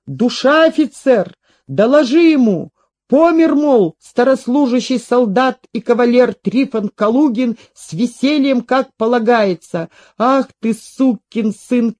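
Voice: mid-range voice, darkish tone, close to an everyday voice. A performer voicing a boastful, pathetic old veteran soldier with rustic, declamatory speech in a story reading. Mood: commanding, dying.

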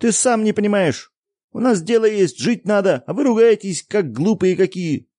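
A man voicing a defiant peasant boy who scolds his parents in a high, indignant voice. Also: lively intonation, moderate pace, mellow, dry recording, clean studio sound. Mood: pleading, scolding.

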